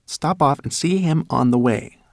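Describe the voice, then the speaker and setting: A man's voice: fairly high pitch, normal speed, creaky, no echo, studio quality, voice pushed up. A man voicing a fussy, anxious, know-it-all female rat in a storybook, speaking insistently. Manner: fussy, insistent, stammering when flustered.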